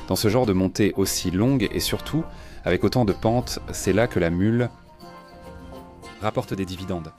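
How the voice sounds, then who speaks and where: A man's voice: fairly low pitch, darkish tone. A man hosting a cycling vlog, chatting eagerly as he rides and tours a small shop. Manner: chatty, enthusiastic, often repeats "regarde". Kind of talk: casual on-the-ride vlog chat.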